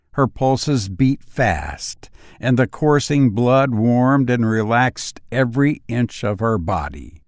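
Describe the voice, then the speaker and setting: A male audiobook narrator reads literary fiction at a slow, deliberate pace. His phrasing is measured and dramatic, at an average pitch for a man. The sound is close and dry. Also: no room sound. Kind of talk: scripted literary story narration.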